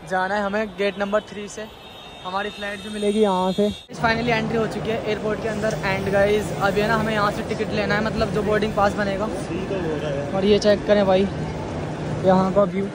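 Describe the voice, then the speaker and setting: Excited young man vlogging through an airport. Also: high voice, normal speed, over a noisy crowd, heavy background noise. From India. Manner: chatty, addresses viewers as guys, mixes English words. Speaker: travel vlogger. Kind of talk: excited handheld travel vlog. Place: airport terminal and plane cabin, indoors.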